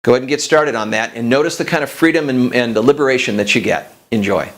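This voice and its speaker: A man's voice: mid-range voice, quick pace, fairly bright tone. Man coaching viewers in a directive way. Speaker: host of a business coaching show. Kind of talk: instructional coaching talk to viewers.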